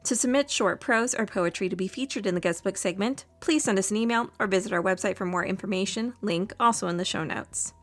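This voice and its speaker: A woman's voice: mid-range voice, fairly quick, hardly any pauses. A woman hosting a podcast, reading scripted closing announcements and credits. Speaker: podcast writer, reader and host.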